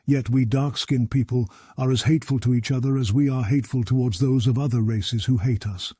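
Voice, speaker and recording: A man from Africa reads a scripted commentary in a measured, formal, argumentative tone. His voice is dark and a little husky. It sits close and dry, with no room sound. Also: mid-range voice, fairly even intonation, no room sound. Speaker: video essay narrator.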